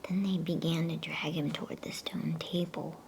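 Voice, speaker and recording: A woman reading a storybook aloud in a hushed, soothing ASMR whisper, taking her time as a bedtime reader. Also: low-pitched, little pitch movement, normal speed, gravelly, slight background noise.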